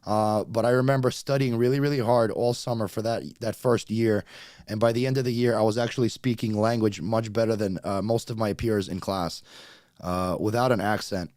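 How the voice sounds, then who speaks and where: A man's voice: on the low side, fairly even intonation, moderate pace, a little mellow, dry recording. Russian-born guy late 30s, faint accent, chatting with his stream, dry close sound. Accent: slight Russian. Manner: chatty, talks straight to viewers while fixing tech. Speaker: YouTube livestream host. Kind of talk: casual livestream chat.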